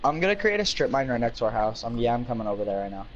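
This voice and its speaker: A man's voice: medium pitch, sing-song, rapid-fire, muffled recording, crowd noise. A teenage boy playing a game with a friend, talking in an offhand, teasing way. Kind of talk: casual game chat.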